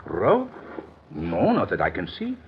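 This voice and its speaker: Earnest police lieutenant, formal stiff phrasing, lively intonation, easily exasperated, old radio drama, dull sound. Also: male voice, low voice, unhurried, exaggerated voice. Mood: baffled, exasperated. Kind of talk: 1950s radio drama acting. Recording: dull, noisy vintage radio recording.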